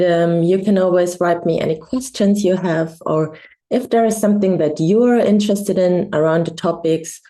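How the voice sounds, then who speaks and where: A German woman with a German accent, a coach, introducing herself in a reflective, hesitant vlog with many pauses and ums. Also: lowish voice, mellow. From Bavaria, Germany. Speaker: embodiment coach.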